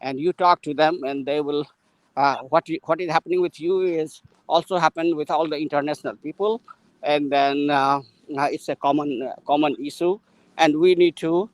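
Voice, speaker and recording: A man with an Indian English accent tells a long, rambling story, full of small pauses and restarts. He is walking while he talks. His voice sits a little high, and it sounds dry and close to the mic with no room echo. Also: fairly even intonation. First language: Maithili. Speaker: guest mentor in an English practice session. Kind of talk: casual conversational storytelling.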